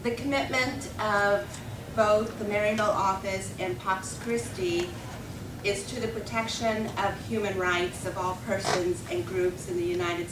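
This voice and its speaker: A woman speaks as an event moderator and delivers a measured, earnest welcoming speech. Her voice comes from some distance in an echoey hall, and steady background noise runs under it. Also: mid-range voice. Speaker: event moderator for advocacy groups.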